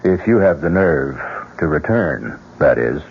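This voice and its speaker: A man performing as the theatrical, sinister host and narrator of a radio mystery, measured and dramatic. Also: very deep voice, expressive, a little hiss or hum, exaggerated voice. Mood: ominous. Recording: dull old radio broadcast. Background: music.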